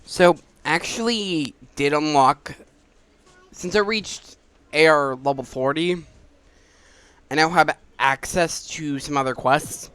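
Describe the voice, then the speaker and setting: A game streamer talks casually through a playthrough. The voice sits at a middle pitch. The delivery is informal commentary. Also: slow, fairly bright tone, clear, resonant tone. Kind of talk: casual gameplay commentary, reading dialogue choices aloud.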